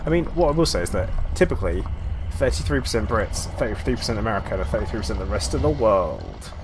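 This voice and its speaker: A man's voice: on the low side, sing-song, fast. A man from the UK talking casually as a live streamer while playing a game. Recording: noisy gaming voice chat.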